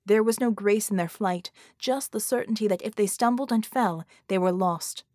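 A woman's voice: mid-range voice, normal speed, darkish tone, no echo, clean studio sound. A woman reading an action story as an audiobook narrator, in a dramatic scripted style.

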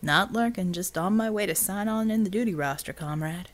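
A woman's voice: mid-range voice, fairly quick, natural voice. A woman playing a wry, laid-back American rebel man in an audiobook, with a folksy, casual way of talking. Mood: defensive.

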